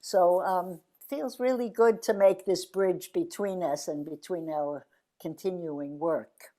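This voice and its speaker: A woman's voice: on the low side, slow. Older woman, earnest and rambling. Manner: warm, earnest, speaks in rambling restarts. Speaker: interviewee. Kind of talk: reflective conversation. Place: video call. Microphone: video call.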